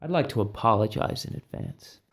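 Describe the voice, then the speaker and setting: A man acting a calm, reassuring leader of a covert team in an amateur audio drama. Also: on the low side, moderate pace.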